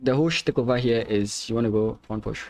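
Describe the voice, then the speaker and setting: Guy from ghana streaming chess, ga is his first language, talks it through out loud, stops and restarts a lot, laughs easy. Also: mid-range voice, fast talker. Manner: thinks aloud, hesitant restarts, laughs easily. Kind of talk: live chess commentary with chat.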